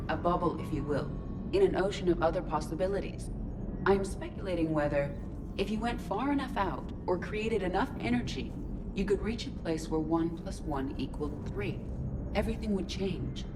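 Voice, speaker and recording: An actress as an analytical female artificial intelligence in a sci-fi game. Her voice sits a little low, and she speaks formally and with precision. Clear music runs underneath. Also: close to an everyday voice. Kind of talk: video game cutscene acting. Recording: music behind the dialogue.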